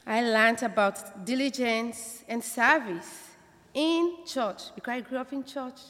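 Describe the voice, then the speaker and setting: A woman speaks to a congregation in testimony style. Her voice sits a little high, and a long hall reverb trails her words. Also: takes it slowly, fairly bright tone. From Africa. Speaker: church member introducing speakers.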